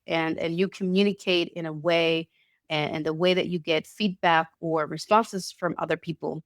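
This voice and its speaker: A woman giving a casual online talk with slides, slightly nasal from a cold, with halting speech and frequent restarts. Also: on the low side, no echo, clean studio sound. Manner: halting, many restarts and repeated words. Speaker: presenter.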